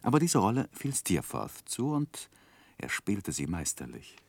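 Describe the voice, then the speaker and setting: Narrator role: thoughtful gentleman memoirist, deep voice, animated intonation, measured literary delivery. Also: creaky, slightly rough, heavily acted. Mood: reflective. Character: reflective gentleman narrator recalling his youth. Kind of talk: scripted narration in 1960s German radio play.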